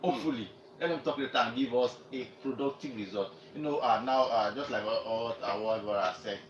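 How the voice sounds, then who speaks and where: Male Nigerian radio sports pundit, fast and wordy, lowish voice, muffled noisy room. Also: far from the mic. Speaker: radio sports show panellist. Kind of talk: live sports discussion. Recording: muffled roomy sound, clear noise.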